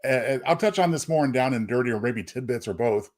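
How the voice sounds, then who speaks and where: A man's voice: medium pitch, expressive, a little fast. A man co-hosting a podcast, giving casual review commentary. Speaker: podcast co-host.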